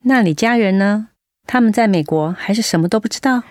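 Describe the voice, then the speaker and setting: An actress playing a caring, firm older-sister nurse, gently admonishing in Taiwanese Mandarin, in a radio drama. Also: female voice, medium pitch, brisk, no room sound, studio quality, close to an everyday voice. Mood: concerned.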